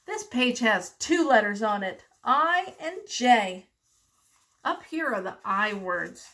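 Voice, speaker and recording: A woman reading aloud to young children as a teacher, enunciating deliberately and prompting them with questions. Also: a little high, expressive, a bit bright. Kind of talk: children's read-aloud lesson.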